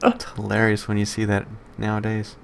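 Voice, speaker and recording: Low-voiced man chatting on a podcast. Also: monotone, fairly quick, vocal fry, clean tone. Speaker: podcast co-host. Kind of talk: casual, profane banter about movies.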